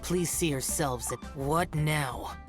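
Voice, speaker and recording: Video game role: aloof, battle-weary immortal guardian, male played by a woman. Very low, slow and solemn delivery, music behind. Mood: bitter, weary. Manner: solemn, curt, formal and measured.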